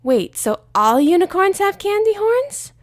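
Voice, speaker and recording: Brief: giddy, bouncy young female pony, very high and excitable, put on by a woman reader. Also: unhurried, no room sound, clean studio sound, exaggerated voice. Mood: excited. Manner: excited and squealing.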